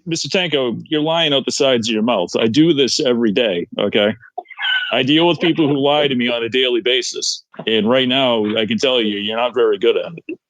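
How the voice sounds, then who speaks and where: A no-nonsense FBI agent, played by a man who interrogates calmly and presses for the truth. His voice sits at a middle pitch. It is recorded close and dry. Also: fairly quick, dry recording, close to an everyday voice, rough recording. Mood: firm. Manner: firm, calm, interrogating.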